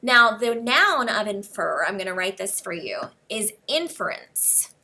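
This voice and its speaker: An American woman teaching English one-on-one, explaining words slowly and spelling them out letter by letter. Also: mid-range voice, very animated, pushed and bright.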